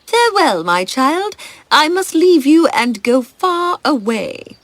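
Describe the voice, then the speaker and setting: Woman acting a high, theatrical scheming noblewoman. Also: big pitch swings, slow, a little hiss or hum, exaggerated voice. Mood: falsely sorrowful. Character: scheming noblewoman, falsely tender, turned cruel stepmother queen. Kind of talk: acted fairy-tale storybook dialogue.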